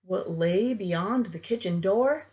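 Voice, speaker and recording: A woman reading a rhyming picture book aloud to children in a theatrical, deliberate way, as a library storytime reader. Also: mid-range voice, dark tone, muffled recording.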